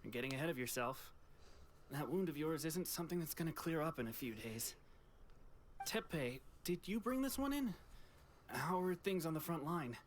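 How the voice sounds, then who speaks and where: An actor playing an easygoing soldier in a video game, speaking in a friendly, gently chiding way. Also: male voice, on the high side, sing-song, normal speed, slight background noise. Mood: teasing concern.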